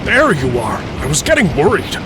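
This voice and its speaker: An actor playing a loyal, nosy, hot-tempered blacksmith in a fantasy audio drama, insistent and excitable. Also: male voice, fairly high pitch, normal speed, very noisy. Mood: worried.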